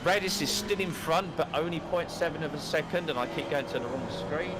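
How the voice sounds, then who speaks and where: A Cockney man in his fifties from East London, commentating a sim race live in a chatty, excitable way, swearing casually. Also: on the high side, a little fast, very noisy. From East London, England. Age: 50s. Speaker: race broadcast commentator and streamer.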